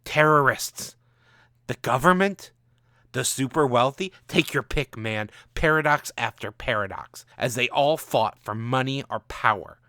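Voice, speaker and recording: A man's voice: medium pitch, lively intonation, unhurried, sharp tone, no room sound, clean studio sound. A man voicing a bitter, angry gunman from the future with a mocking, ranting manner in a story. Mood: furious.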